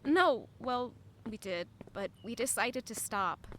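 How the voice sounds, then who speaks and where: A woman's voice: on the high side, lively intonation, slow, fairly bright tone, a little hiss or hum. An actress playing a guarded, wistful young lady-in-waiting in an audio drama, speaking earnestly and introspectively. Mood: defensive. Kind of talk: audio drama narration and dialogue.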